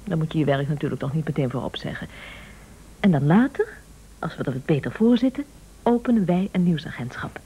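Een actrice in een Nederlands hoorspel speelt een sluwe, praktische echtgenote die bazig en vleiend aandringt. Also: female voice, low-pitched, animated, a little fast, dark tone, slight background noise. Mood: eager, insistent. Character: shrewd, scheming, persuasive wife, practical and bossy. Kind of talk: Dutch radio play acting.

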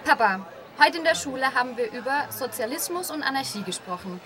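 A woman's voice: high-pitched, expressive, fairly quick, bright, forceful tone, a faint crowd. A woman playing a curious, earnest schoolgirl talking to her father in a self-made radio play. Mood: naive, curious.

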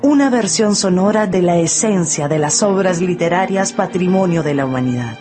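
Woman radio announcer, lowish voice, scripted show intro, really loud music under her. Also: animated, normal speed. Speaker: programme announcer.

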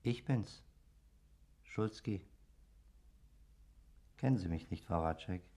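A man's voice: deep voice, slow, creaky, dark tone, heavily acted. An actor playing the polite, sardonic ghost of a murdered man in a radio play, chatty and mocking. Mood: polite, bored. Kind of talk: German radio play acting.

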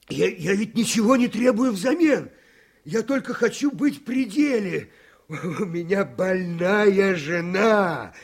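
An actor playing a desperate, servile henchman in a radio play, with a husky creaky voice and halting, stammering speech. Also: sits high, full character voice. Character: desperate, servile male henchman pleading for his job.

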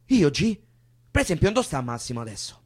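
Casting: man to play incredulous, anxious activist friend, Roman accent, animated fast talker for stage drama. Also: a little high, husky. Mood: incredulous, worried. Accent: Roman Italian. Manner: questioning, incredulous. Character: doubting, anxious young male activist, loyal friend.

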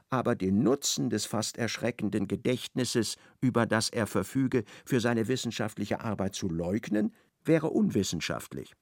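A man giving measured, ironic literary narration in a radio play. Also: lowish voice, creaky, no echo, studio quality.

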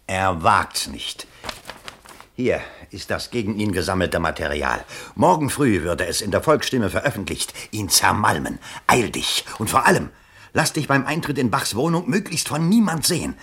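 An actor in a 1970s German radio play, playing a scheming, agitated bourgeois candidate with an urgent, commanding, theatrical delivery and a husky voice. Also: male voice, lowish voice, big pitch swings, normal speed, fairly bright tone, slight background noise.

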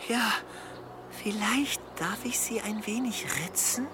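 A woman's voice: mid-range voice, fairly even intonation, takes it slowly, noisy background, natural voice. An actress playing a cruel, gleefully sadistic woman in a German radio drama. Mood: gleeful, menacing.

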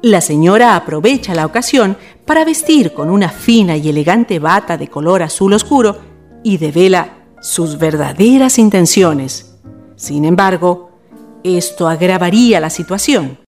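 A woman's voice: lowish voice, expressive, moderate pace, darkish tone, faint music behind. A woman reading scripted narration as the narrator of a radio drama.

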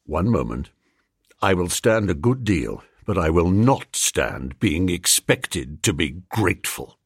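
Man playing a peevish, stubborn young English gentleman. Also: mid-range voice, very animated, slow, slightly rough, no echo, clean studio sound, exaggerated voice. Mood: indignant. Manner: peevish, blunt.

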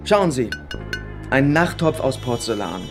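Taunting Soviet officer, medium pitch, smug sarcasm, mock courtesy, hardboiled radio play, music behind. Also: male voice, very animated, a little slow. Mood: sneering. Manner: mock-polite, gloating, sarcastic. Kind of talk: German hardboiled radio crime drama acting.

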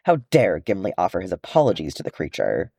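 Male narrator, fantasy audiobook, very animated pitch, mellow tone, dry close sound. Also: quick pace, vocal fry, dry recording. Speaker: audiobook reader of fan fiction. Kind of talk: expressive scripted story narration.

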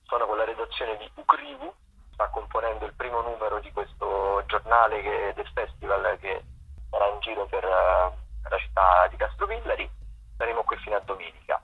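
A man runs through the week's theatre listings in a rambling, hesitant way. His low voice is gravelly and husky. The whole thing comes through a thin, tinny phone line. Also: normal speed. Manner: relaxed, rambling, with many hesitations. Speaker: theatre critic and regular contributor. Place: at a festival, away from the studio.

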